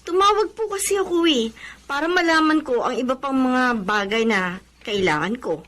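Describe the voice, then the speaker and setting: A proud, jobless young woman in a radio drama. Her voice is very high and swings widely in pitch as she whines and complains sulkily. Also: lively intonation, normal speed, a bit bright. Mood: reluctant, proud. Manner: whiny, complaining. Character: sulky, proud young woman, jobless college graduate daughter.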